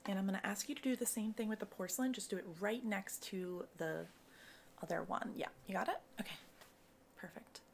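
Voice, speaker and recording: Soft-spoken, creaky-voiced ASMR woman, often whispering. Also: medium pitch, fairly quick, a little hiss or hum. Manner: casual, reassuring, step-by-step explaining. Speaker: cosmetics consultant in a roleplay, ASMR creator. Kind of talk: soft-spoken ASMR personal-attention roleplay.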